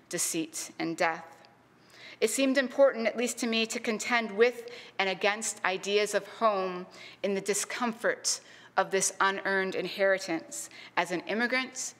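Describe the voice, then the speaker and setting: A middle-aged woman from Canada delivers a prepared history lecture in a voice of medium pitch. She speaks in a measured, earnest way and leans on key words with deliberate emphasis. Her voice carries a long reverb, as in a lecture hall. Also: fairly bright tone. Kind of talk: reading a prepared public lecture.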